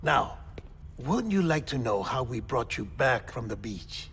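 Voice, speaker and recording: A man's voice: mid-range voice, sing-song, unhurried, fairly bright tone, a little hiss or hum. An actor playing a talkative, fussy male doctor in a video game cutscene, explaining things at length. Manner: chatty, explanatory. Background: music.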